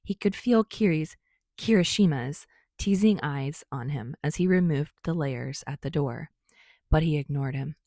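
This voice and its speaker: Female audiobook-style narrator, low pitched, dry close sound, telling a scripted story. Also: measured pace, mellow, no echo. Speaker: fan fiction narrator.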